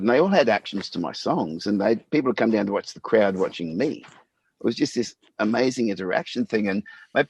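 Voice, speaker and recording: Husky, low-voiced host. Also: male voice, no echo. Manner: relaxed and conversational, says mate. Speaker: podcast host. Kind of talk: casual chat.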